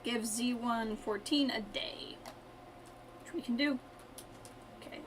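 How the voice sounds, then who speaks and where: High-voiced woman streamer thinking aloud. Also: slow, background noise. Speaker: game streamer. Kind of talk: casual live gameplay commentary.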